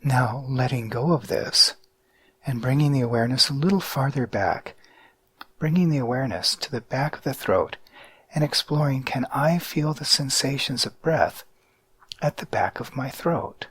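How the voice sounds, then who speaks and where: A man guiding a mindfulness meditation in a calm, measured way with deliberate pauses. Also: medium pitch, breathy edge, no room sound. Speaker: meditation guide.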